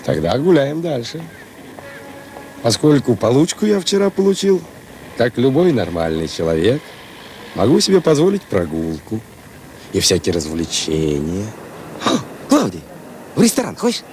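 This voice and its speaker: Wildly animated, chatty husband. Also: male voice, mid-range voice, normal speed, long pauses, a little hiss or hum. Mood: jaunty, affectionate. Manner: colloquial, animated, theatrical. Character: chatty, jaunty working-class husband, a reformed character. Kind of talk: radio play acting.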